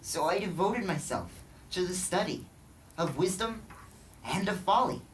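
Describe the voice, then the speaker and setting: A young man playing a weary, broken teacher-king in a theatrical biblical monologue, declaiming with dramatic pauses and emphatic repetition. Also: high voice, expressive. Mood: intense, anguished. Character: weary, broken old teacher-king lamenting life's emptiness. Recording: distant mic, faint background noise.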